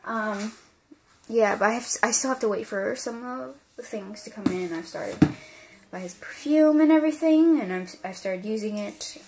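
A woman chatting casually while unboxing an album at her desk, rambling with lots of fillers and her voice going hoarse. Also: fairly high pitch, normal speed, noisy background. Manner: rambling, many fillers and restarts. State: losing her voice. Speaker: unboxing vlogger.

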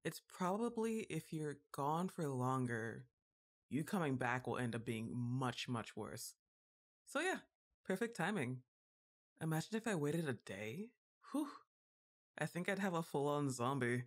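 A woman's voice: deep voice, big pitch swings, unhurried, exaggerated voice. A woman playing a goth giantess witch girlfriend in an ASMR roleplay, exasperated but fond, with a casual, wry, hesitant delivery. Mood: reassuring, unsure.

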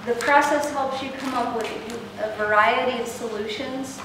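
A woman's voice: a little high, steady tone, normal speed. A middle-aged woman leading an interactive workshop, speaking with frequent ums and checking in with the room. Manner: upbeat, many ums, asks the room questions. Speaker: workshop instructor. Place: large meeting room with projector. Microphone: far camera, no mic. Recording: echoey room, distant, noisy.

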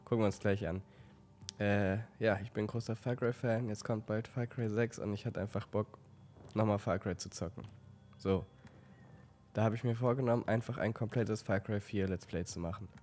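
A man with a slight cold giving relaxed let's play commentary, stumbling now and then while reading game text aloud. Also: lowish voice, moderate pace, faint music behind. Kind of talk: casual gameplay commentary.

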